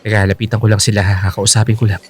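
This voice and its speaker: A man's voice: lowish voice, level tone, racing, breathy edge. A man playing a teasing, reassuring young boyfriend with a secret plan in a Filipino radio drama. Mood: coaxing, reassuring.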